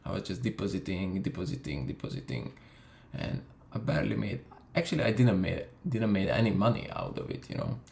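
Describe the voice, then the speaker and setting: A man's voice: low-pitched, huge pitch swings, brisk, gravelly, a little mellow. A young man from Hungary tells his story in a casual, profane way, with lots of fillers. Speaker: vlogger. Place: room in London. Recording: echoey room.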